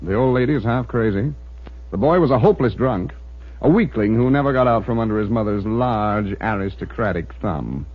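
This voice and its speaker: An actor playing an earnest, defensive American police inspector in an old radio drama, emphatic and easily flustered. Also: male voice, fairly low pitch, animated, a little fast. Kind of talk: mid-century radio drama acting. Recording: muffled, dull old radio recording.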